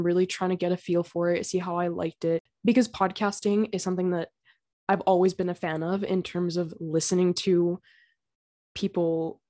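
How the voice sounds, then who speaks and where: Young woman host with a slightly raspy throat. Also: on the low side, darkish tone. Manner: chatty and earnest, with fillers and small laughs. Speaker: podcast host. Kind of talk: casual solo podcast talk. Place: home.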